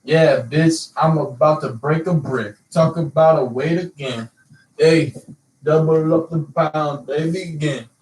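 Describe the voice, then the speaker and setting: A man's voice: on the high side, a little slow, darkish tone, far from the mic. A young man chatting casually with a friend and freestyling raps, loose and profane. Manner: loose, profane, laughing banter. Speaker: rapper. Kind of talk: casual chat and freestyle rapping. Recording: roomy, from off the mic.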